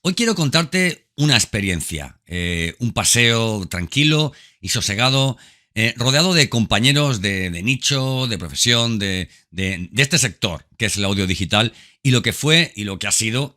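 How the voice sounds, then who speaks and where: A man's voice: fairly low pitch, animated, normal speed, pushed and bright. A man hosting a podcast in an informal, unscripted monologue, talking directly to the listener and repeating phrases for emphasis. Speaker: podcast host. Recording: background music under the voice. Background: background music.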